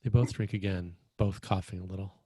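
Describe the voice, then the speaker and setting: A man's voice: deep voice, steady tone, brisk, mellow, no echo. A man narrating scripted screenplay action as the stage-direction reader at a table read.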